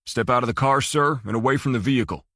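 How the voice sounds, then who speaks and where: A stern, officious male trooper from a thriller audiobook, played by a male narrator. His voice is husky and very dry, recorded close. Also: medium pitch, fast, fairly bright tone, dry recording.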